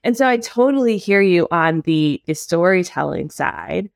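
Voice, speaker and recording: A woman from Miami with a lowish voice hosts a podcast and chats in a relaxed, casual way. She nods along with her guest through frequent short "yeah"s. The recording is dry and close-miked. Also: moderate pace, gravelly, no room sound. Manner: friendly, lots of backchanneling. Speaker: podcast host.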